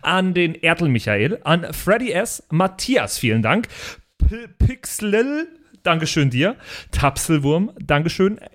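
A man with a fairly high voice and very lively, swinging intonation. He hosts a podcast in an informal, joking way, chatting casually and addressing his listeners. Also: unhurried. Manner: jokey, informal. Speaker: podcast host and player. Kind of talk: casual banter and listener thank-yous.

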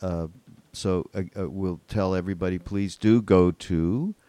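An older man hosting a podcast in casual chat, with a meandering, halting delivery. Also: low voice, steady tone, dark tone, no echo. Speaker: podcast host.

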